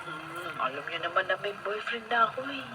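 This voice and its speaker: A woman's voice: medium pitch, lively intonation, a little fast, close to an everyday voice. An actress with a Filipino accent playing a caring, candid and earnest female teacher in a radio drama. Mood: remorseful. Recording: very noisy radio broadcast.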